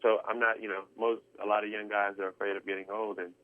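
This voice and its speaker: A man's voice: on the low side, little pitch movement, fast. A man, talking earnestly in an interview, rambling with many restarts and fillers. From Texas. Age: 20s. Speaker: guest drummer. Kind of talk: casual interview chat. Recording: tinny phone line.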